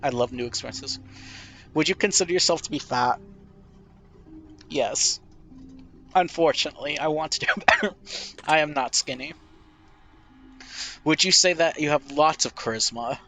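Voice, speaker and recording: A man's voice: fairly high pitch, moderate pace, long pauses, sharp tone, soft background music. Nasal young man musing aloud. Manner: thoughtful, self-deprecating, rambling answers. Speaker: gamer playing a question game. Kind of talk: casual reflective commentary.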